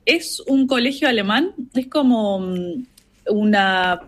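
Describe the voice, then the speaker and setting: A young woman from northern Argentina with an Argentine accent, speaking as a relaxed interview guest, full of "este" fillers. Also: mid-range voice, slow pace. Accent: Argentine Spanish. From Argentina, Salta, Tartagal. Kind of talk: casual interview by call. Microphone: video call.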